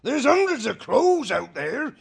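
A man's voice: extremely high pitch, sing-song, a little slow, creaky, breathy edge, voice pushed up, exaggerated voice. A man voicing an anxious, polite male river otter with a fretful, apologetic manner. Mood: worried.

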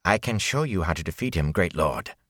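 A man voicing a filthy, cringing male beggar who whines and then turns smooth, unctuous and haughty. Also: fairly low pitch, animated, unhurried, fairly bright tone, dry recording, studio quality, voice pushed up. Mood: oily.

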